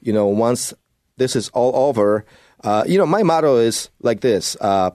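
A man's voice: mid-range voice, animated, moderate pace, darkish tone, no room sound. A man from Poland with a Polish accent, a native Polish speaker, talking conversationally as a real estate guest on studio radio.

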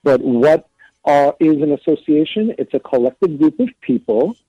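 A man speaking as an attorney guest on a radio show, explaining terms in a measured way. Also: on the high side, lively intonation, no room sound, lo-fi sound.

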